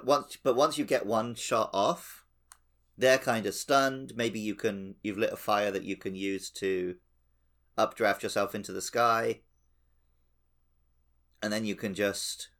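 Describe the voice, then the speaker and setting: A man's voice: on the low side, a bit bright. A man co-hosting a casual gaming podcast, explaining things in an analytical, step-by-step way. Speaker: podcast co-host. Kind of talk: casual video game discussion.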